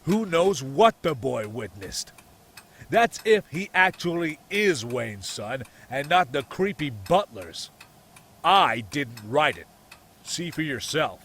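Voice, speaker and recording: A man's voice: fairly high pitch, sing-song, takes it slowly, fairly bright tone, breathy edge, heavily acted. A man playing a smug, gossipy middle-aged city mayor who insinuates, in a motion comic. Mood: sneering.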